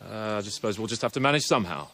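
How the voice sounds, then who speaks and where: A man's voice: on the low side, lively intonation, racing, sharp tone, a little hiss or hum. An actor playing a jovial, impatient young nobleman in a game, with a breezy, joking way of talking. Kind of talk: video game cutscene acting.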